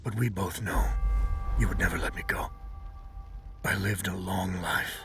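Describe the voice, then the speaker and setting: An actor playing an old, weary soldier reading a tender farewell in a breathy, solemn, intimate voice. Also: male voice, low voice, steady tone, measured pace, distant mic, noisy background. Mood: tender, resigned. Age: older. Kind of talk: dramatic voice-over of a letter.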